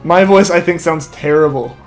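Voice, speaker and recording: A young man rambles through a live game stream, reading viewer comments aloud and padding nearly every phrase with "like". His voice sits a little high for a man and comes through a headset mic. Faint game music plays underneath. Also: moderate pace. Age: young adult. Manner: rambling, filler-heavy, keeps saying like. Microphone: headset mic feeding back to headphones.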